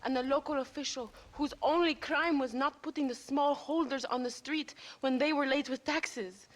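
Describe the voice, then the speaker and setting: A woman's voice: high-pitched, normal speed, lightly acted. An actress playing an earnest, anxious young woman in a video game, speaking formally and urgently. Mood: pleading, earnest. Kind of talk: video game cutscene acting.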